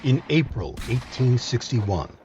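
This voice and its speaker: A man narrating scripted history as a series host, with a dramatic, measured storytelling delivery. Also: mid-range voice, sing-song, background noise.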